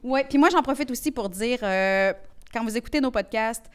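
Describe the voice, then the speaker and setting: A woman's voice: fairly high pitch, normal speed, clean tone. A woman with a Quebec French accent co-hosting a podcast, chatting and making announcements in an informal, playful way. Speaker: podcast co-host. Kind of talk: casual chat and announcements.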